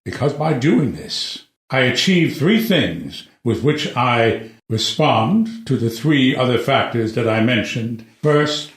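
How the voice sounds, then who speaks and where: Man playing a slow, stately older king. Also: on the high side. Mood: solemn, deliberate. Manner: stately, deliberate oratory with weighty pauses. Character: proud, solemn older king justifying his decrees to vassals. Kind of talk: dramatic reading of a classic verse stage play. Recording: echoey room.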